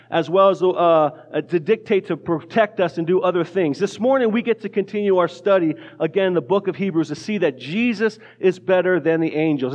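A man's voice: high voice, highs cut off. A man preaching a casual sermon in a church, joking lightly and often restarting his words. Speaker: pastor. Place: church sanctuary.

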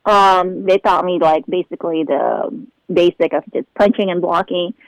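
A woman's voice: fairly low pitch, steady tone, normal speed, dry recording, poor audio. A bubbly young woman from the Philippines, chatting and laughing often as a guest in a casual interview. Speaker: show regular being interviewed.

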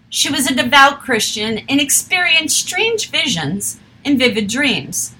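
A woman reading a history text aloud in a steady, measured way, with an occasional slip. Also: on the high side, poor audio.

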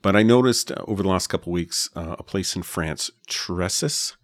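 Very deep-voiced male podcast host. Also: normal speed, dry recording. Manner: friendly, wraps up the show addressing listeners. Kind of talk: casual podcast chat.

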